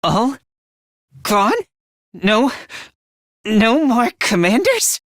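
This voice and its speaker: Casting: fragile, timid teen boy for a video game; high androgynous voice, slow and hesitant, swinging widely in pitch. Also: very animated, fairly bright tone, dry recording, shouting, studio quality, heavily acted. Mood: unhinged, intense. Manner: timid, hesitant, stammering, emotionally volatile. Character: anxious, self-deprecating, frail teenage boy, unstable under strain. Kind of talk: video game voice acting, dramatic.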